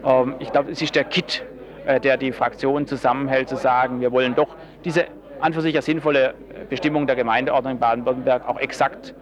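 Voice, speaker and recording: A man answering as a politician in an interview, explaining in formal, measured and carefully worded language. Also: medium pitch, fairly even intonation, crowd noise.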